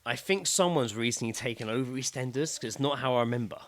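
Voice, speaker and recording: A man's voice: mid-range voice, quick pace, dry recording. A man co-hosting a podcast in casual, sweary banter, acting out what people said as he tells anecdotes. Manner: sweary, animated retelling with acted-out quotes. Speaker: podcast co-host.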